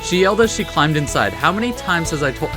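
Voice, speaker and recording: A let's play host with very animated, swinging intonation, chatting casually and reading game text aloud in a slightly halting way. Game music plays clearly behind the voice. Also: medium pitch.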